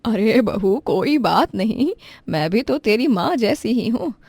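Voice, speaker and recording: A woman's voice: a little high, animated, a little slow, a little mellow, husky, dry recording, heavily acted. A woman voicing a kindly, meek older mother-in-law who pleads affectionately in a family moral story. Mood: gentle, affectionate. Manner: pleading, affectionate, self-effacing.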